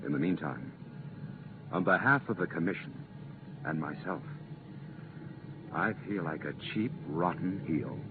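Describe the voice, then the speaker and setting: An actor playing an earnest, regretful but firm commission official in a 1950s radio drama, speaking deliberately and emphatically. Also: male voice, sits low, expressive. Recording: muffled, noisy 1950s radio transcription.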